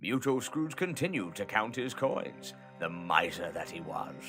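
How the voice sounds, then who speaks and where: A man as a solemn storybook narrator with a low voice. He phrases each line dramatically and with measure, while music plays clearly behind him. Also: sing-song, unhurried. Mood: solemn, foreboding.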